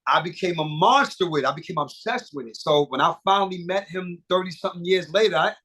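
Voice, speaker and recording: A middle-aged man with a somewhat high-pitched voice talks as an interview guest. He rambles animatedly, swears freely and restarts his sentences. The talk is loose and casual. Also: fast talker, pushed and bright, breathy edge. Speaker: guest singer and producer.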